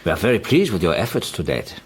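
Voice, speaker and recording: An actor playing a stern, formal East German state security officer in a radio drama. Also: male voice, low voice, sing-song, fast talker, a little hiss or hum. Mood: officious.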